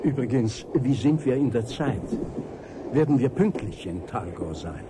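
Man playing a polite wry priest, very varied pitch, old radio drama with clear noise behind him. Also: lively intonation, measured pace, close to an everyday voice. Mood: courteous. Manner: courteous, dryly humorous. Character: genial, wry, courteous monastery priest. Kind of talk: 1980s German radio play acting. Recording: noisy vintage radio play, train ambience.

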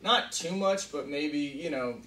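A man's voice: a little high, measured pace, bright, forceful tone. A man speaking as a film director at a table read, informal, reading stage directions and explaining shoots. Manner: informal, peppered with "you know" and "like". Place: small indoor conference room. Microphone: camera mic across the table. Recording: echoey meeting room, distant mic, faint noise.

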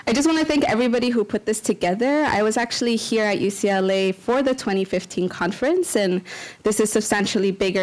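A woman from Los Angeles speaks at a podium microphone in a lecture room. Her conference talk is loose and conversational, with easy "um"s and "like"s. Her voice sits at a middling pitch. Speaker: sociology professor speaker.

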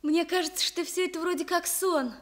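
A woman's voice: very high voice, steady tone, a little fast. An actress playing a shy, dreamy young woman in a Soviet radio play, speaking earnestly. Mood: dreamy, disbelieving. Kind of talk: Soviet radio theatre acting.

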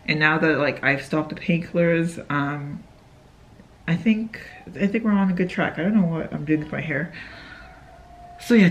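A woman's voice: fairly low pitch, a little hiss or hum. A young woman vlogging casually from a hotel bed, rambling and chatty with lots of fillers and self-corrections. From Canada. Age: young adult. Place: hotel room, indoors, sitting in bed. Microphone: phone camera held close.

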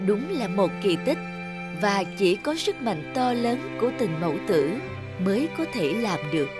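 A woman reading scripted narration for an animated story. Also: mid-range voice, moderate pace, loud music behind the voice.

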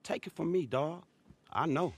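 A man's voice: a little high, normal speed, slightly rough. An actor playing an exasperated, sceptical streetwise man in a video game, blunt and slangy. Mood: laid-back. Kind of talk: video game cutscene acting.

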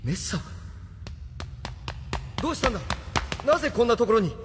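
An actor playing an earnest, intense young rebel hero in a Japanese audio drama, speaking with urgent, questioning drama. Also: male voice, very high-pitched, very animated, a little fast, background noise. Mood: bewildered, urgent. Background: music.

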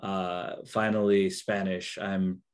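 A young man whose first language is Kannada speaks on a video call in a fairly low voice. He talks haltingly, with many ums and restarts, as an interview guest. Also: flat delivery, smooth. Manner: hesitant, many fillers and restarts. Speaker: interview guest, researcher and therapist.

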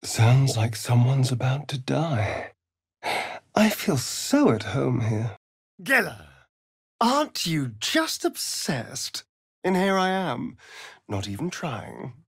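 A man's voice: mid-range voice, sing-song, takes it slowly, vocal fry, slightly rough, no echo, studio quality, exaggerated voice. A man with an English accent playing a vain, sardonic vampire rogue in a video game, with an arch, mocking, drawling delivery. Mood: wry amusement. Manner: arch, drawling, mocking, swinging between purr and outburst. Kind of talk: video game character voice lines.

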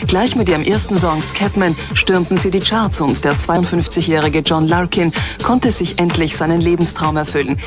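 A woman's voice: on the low side, moderate pace, hardly any pauses. A woman reading scripted narration for a television documentary. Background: music.

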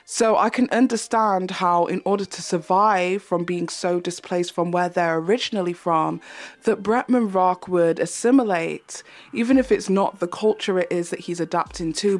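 A young English woman giving a measured commentary to camera from her bedroom, holding a handheld mic. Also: lowish voice. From England. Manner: measured, explanatory. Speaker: YouTube commentator. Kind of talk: commentary think piece to camera.

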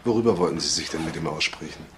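An actor playing a dry, probing police detective with pointed delivery in a German crime radio drama. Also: male voice, rumbling low, rapid-fire, fairly bright tone, slight background noise.

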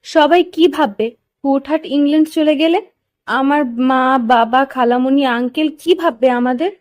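A woman's voice: high-pitched, measured pace, no room sound. A woman playing a timid, flustered young heroine in a romantic audio story, with a hesitant, stammering delivery. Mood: nervous, stammering.

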